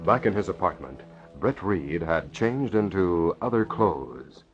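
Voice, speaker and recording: Deep, animated male radio narrator. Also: unhurried, music in the background. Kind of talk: dramatic old-time radio narration. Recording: dull vintage radio recording.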